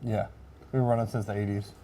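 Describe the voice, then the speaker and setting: A man's voice: lowish voice, little pitch movement, a little fast, dark tone, slight background noise. A plain-spoken man, a fire officer explaining casually in an interview.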